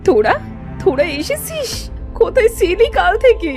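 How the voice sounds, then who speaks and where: A woman's voice: very high-pitched, big pitch swings, normal speed, darkish tone. A woman playing an anxious, wailing mother of two children in a children's cartoon. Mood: anxious relief. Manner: wailing, fretful. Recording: music behind the voice.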